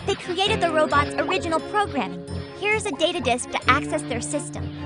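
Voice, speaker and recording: A woman voicing an upbeat, helpful young guide in a children's computer game, giving brisk instructions. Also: high-pitched, lively intonation, loud music behind the voice.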